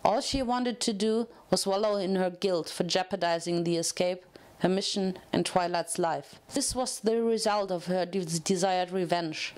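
A woman reading fiction aloud as a narrator, in an expressive, dramatic storytelling manner. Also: on the low side, normal speed.

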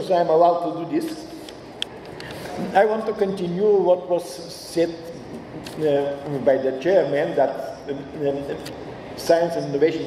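A man in his sixties with a Bulgarian accent, giving an informal welcome speech, haltingly searching for words. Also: on the high side, mellow. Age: 60s. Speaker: institute director giving a speech. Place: large auditorium stage. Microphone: podium microphone. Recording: echoey hall, distant, faint noise.

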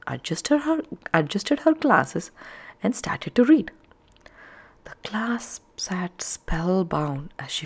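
A woman narrating a children's story aloud, with expressive, emphatic phrasing for young listeners. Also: medium pitch.

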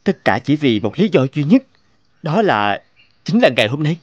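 A man voicing a devoted, romantic young boyfriend in an animated drama, speaking earnestly, tenderly and politely. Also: a little high, normal speed, no room sound, highs cut off.

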